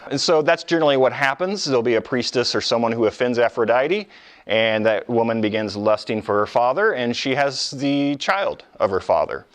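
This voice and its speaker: A man's voice: mid-range voice, lively intonation, moderate pace. A man lecturing informally on ancient history as a professor, explaining in a conversational way with colloquial asides.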